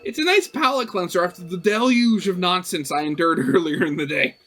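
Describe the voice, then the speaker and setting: A young man reading a visual novel aloud as a let's play host, expressive and breaking into casual asides. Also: high-pitched, fairly quick, faint music behind.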